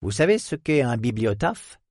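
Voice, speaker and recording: A man's voice: mid-range voice, sing-song, moderate pace, darkish tone, no room sound, clean studio sound. A man doing a jovial old professor. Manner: effusive, courteous, conspiratorial. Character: jovial, theatrical old male historian professor.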